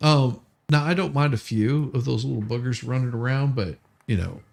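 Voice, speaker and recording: A man chatting casually as a livestream co-host, telling stories in a halting way with repeated words. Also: medium pitch, creaky, darkish tone.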